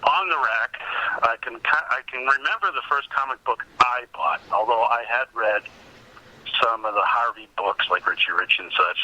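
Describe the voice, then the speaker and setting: Male guest, fifties, very bright forceful voice, dulled by a phone call, casual reminiscing. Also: mid-range voice, normal speed. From Massachusetts, United States. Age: 50s. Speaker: podcast guest. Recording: dull, sharp-edged phone line.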